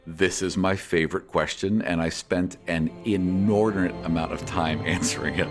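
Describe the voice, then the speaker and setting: A man hosting a podcast, reading scripted fantasy lore and answers in a measured storyteller's manner. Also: deep voice, fairly even intonation, loud music behind the voice. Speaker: podcast host and game master. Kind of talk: scripted narration answering listener questions.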